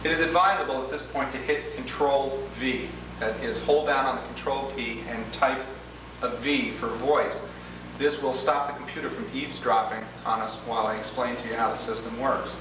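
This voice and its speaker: A man of average pitch narrates a homemade 1980s training video. He explains procedures methodically and formally, one step at a time. The sound is very reverberant and distant, with clear background noise. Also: steady tone, moderate pace. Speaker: product demonstrator and instructor. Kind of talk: instructional training-tape walkthrough.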